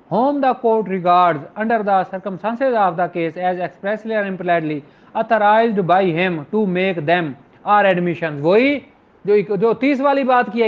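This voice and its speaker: High-pitched Pakistani man lecturing, dull audio. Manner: didactic, informal, switches between Urdu and English legal terms. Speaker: law lecturer. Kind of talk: explanatory lecture, reading statutes aloud then explaining them.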